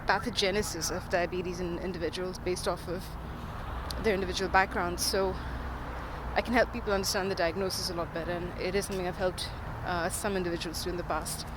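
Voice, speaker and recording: Earnest speaker over loud traffic noise. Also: medium pitch, flat delivery, brisk. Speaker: diabetes researcher and podcaster. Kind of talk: informal spoken monologue, explaining.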